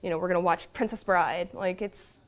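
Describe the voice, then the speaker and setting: A woman's voice: on the low side, steady tone, a little fast. A young adult American woman chatting informally in an interview, peppering her talk with "like" and "you know". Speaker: family business member being interviewed. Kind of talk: casual interview chat.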